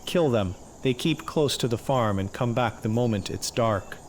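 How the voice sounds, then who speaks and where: Gruff old farmer played by a man, blunt and sardonic, game quest dialogue, faint night insects behind. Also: mid-range voice, normal speed, slight background noise, lightly acted. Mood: gruff, urgent. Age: older. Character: gruff old farmer beset by wolves, shrewd, grumbling. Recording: faint outdoor night ambience with crickets. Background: crickets and insects.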